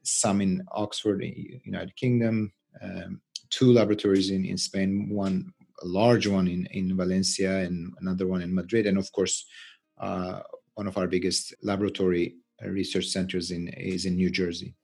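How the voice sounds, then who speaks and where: A middle-aged man with a Turkish accent, giving unscripted interview answers as a scientist, halting and often repeating words. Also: deep voice, little pitch movement, vocal fry, darkish tone, husky. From Turkey. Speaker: interview guest, research scientist and physician.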